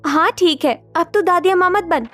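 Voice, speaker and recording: High-pitched fretful young woman acted by a woman. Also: moderate pace, fairly bright tone, faint music behind. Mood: annoyed. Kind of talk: animated Hindi story dialogue.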